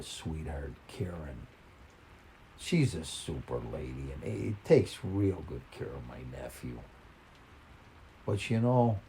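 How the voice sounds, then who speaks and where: Older man, folksy storyteller, halting and reflective, lively rise and fall in pitch, faint rain-like noise behind. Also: vocal fry. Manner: folksy, plain-spoken. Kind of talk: reading a personal short story in a conversational, reminiscing way.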